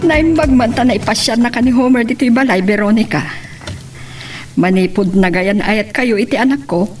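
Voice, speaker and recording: A woman's voice: on the high side, normal speed, a little mellow, faint music behind, close to an everyday voice. A woman playing a welcoming middle-aged mother in a radio drama, with a Filipino accent. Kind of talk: Ilocano radio romance drama acting.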